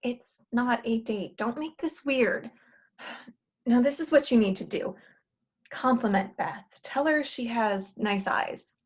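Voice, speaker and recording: A woman playing a bossy, exasperated matchmaker in a comedy play table read, brisk and sardonic as she gives orders. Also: a little high, breathy edge. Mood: instructing.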